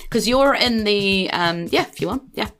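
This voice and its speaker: A woman's voice: mid-range voice, animated, moderate pace, fairly bright tone. A woman with a New Zealand accent improvising narration as the game master of a tabletop game.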